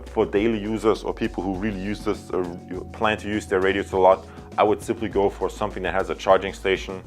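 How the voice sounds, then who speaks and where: A man giving a casual tech product review, choosing his words carefully and a little hesitantly. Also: sits low.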